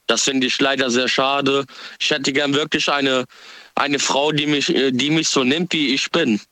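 A young man calling in to a radio show, talking haltingly with many pauses and fillers. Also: mid-range voice, fairly even intonation, pushed and bright, no room sound. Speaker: caller. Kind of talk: phone-in chat.

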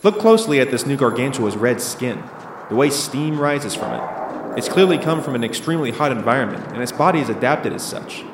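A man's voice: medium pitch, expressive, a little fast, lightly acted. A man playing a level-headed monster scientist in an audio drama, explaining in an assured, analytical way. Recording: echoey, with clear background noise.

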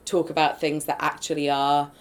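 A woman with a nasal, projecting voice, chatting excitably as a podcast guest, full of fillers and quick laughs. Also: low-pitched. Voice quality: loud, projecting voice. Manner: bubbly and excitable, laughs often, many fillers. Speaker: podcast guest, improv performer. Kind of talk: casual podcast interview.

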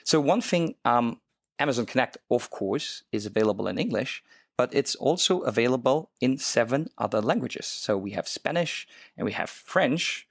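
A man's voice: mid-range voice, no echo. Guy from luxembourg chatting about cloud tech, eager, lots of ums, super dry close sound. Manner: enthusiastic, many fillers and restarts. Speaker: co-host, developer advocate. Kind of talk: casual tech podcast chat.

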